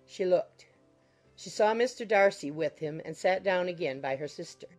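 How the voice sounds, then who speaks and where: A woman reading a classic novel as an audiobook narrator, in measured, formal storytelling style. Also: fairly low pitch, animated, darkish tone. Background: music under the voice.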